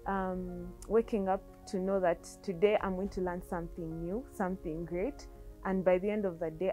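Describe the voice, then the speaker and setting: A woman's voice: lowish voice, warm tone, poor audio. Eager young woman, outdoor interview. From Uganda. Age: young adult. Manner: eager, thoughtful, with repeated words and restarts. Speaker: coding student. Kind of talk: interview testimonial. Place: outdoor terrace. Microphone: lapel mic.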